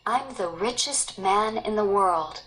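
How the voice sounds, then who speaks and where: A greedy king from an old legend, excitable and dramatic as a woman reads him. The delivery is slow and measured, in a storybook manner. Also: mid-range voice, poor audio. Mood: overjoyed.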